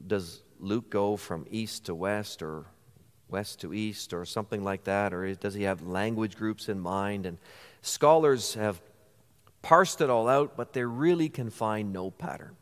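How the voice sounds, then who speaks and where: A man's voice: on the low side, measured pace. Preaching man in a reverberant church. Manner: earnest preaching, rhetorical questions. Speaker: pastor. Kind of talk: sermon. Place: church sanctuary.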